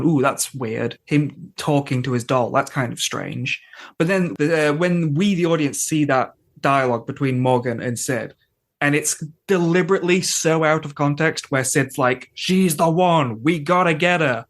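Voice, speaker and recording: A man's voice: on the high side, expressive, normal speed, a bit bright. A man chatting casually and wryly as a co-host on a podcast. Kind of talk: casual chat about a TV show.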